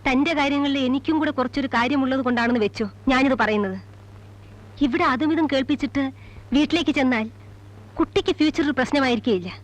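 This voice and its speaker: Actress as a proud, high-voiced, scolding young woman. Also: quick pace, lightly acted, poor audio. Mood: stern. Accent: Indian Malayali. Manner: scolding, disdainful, English words mixed in. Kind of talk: heated film drama dialogue.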